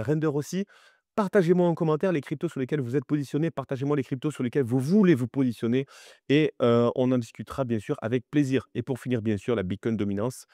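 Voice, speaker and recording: A man hosting a crypto market video, chatty and animated, with many fillers and direct appeals to viewers, sounding a little stuffy from the cold. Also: mid-range voice, no room sound, studio quality. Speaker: crypto channel host. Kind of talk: crypto market analysis vlog.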